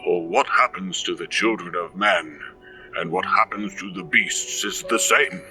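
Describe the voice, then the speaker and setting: Slow, low-voiced solemn reverend, acted by a man. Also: sharp tone, distant mic, soft background music, exaggerated voice, low-quality recording. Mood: solemn, prayerful. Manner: solemn, preachy, measured delivery. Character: solemn village reverend leading a burial. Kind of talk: scripted audio drama, scripture recitation.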